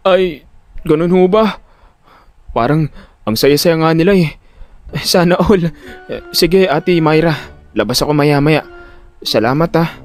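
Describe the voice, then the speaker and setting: A man with a Filipino accent playing a jittery, vain but good-natured young everyman who tells his own story in an audio drama, expressive and dramatic. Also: on the high side, measured pace, pauses often, lightly acted. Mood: polite, sheepish. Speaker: narrator and lead actor. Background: music.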